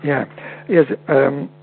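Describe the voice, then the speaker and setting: A man with a Dutch accent whose first language is Dutch, speaking as a guest in an interview over a call, halting and often repeating words. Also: fairly high pitch, gravelly, breathy edge. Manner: halting, repeats words, non-native phrasing. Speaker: guest homeopath. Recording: tinny phone-like line with hum.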